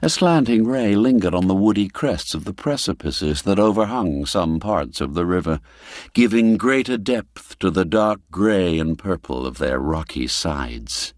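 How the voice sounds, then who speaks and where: Slow, deep, expressive male storyteller. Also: no room sound, studio quality. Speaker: narrator. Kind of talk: scripted literary audiobook narration. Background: background music.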